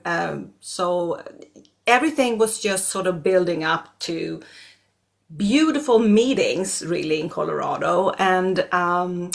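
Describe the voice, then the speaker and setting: A middle-aged Swedish woman with a Swedish accent, a native Swedish speaker, speaking as an astrologer guest in an interview, hesitant with many fillers and restarts. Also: fairly low pitch. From Sweden. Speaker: astrologer and regression therapist guest. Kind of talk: conversational interview.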